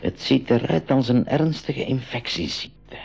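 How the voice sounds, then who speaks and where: A cautious expedition leader from a radio play. His medium-pitched voice swings widely as he doubts and hesitates, repeating words while he thinks things through. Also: expressive, unhurried, vocal fry, darkish tone. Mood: concerned. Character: Uncle January, cautious worried professor and expedition leader. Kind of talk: 1980s school radio drama acting.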